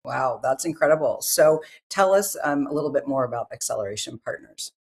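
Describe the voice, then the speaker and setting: A woman's voice: very deep voice, animated, brisk, gravelly, darkish tone. A woman from Northern California hosting an interview, asking friendly, chatty questions. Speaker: podcast host.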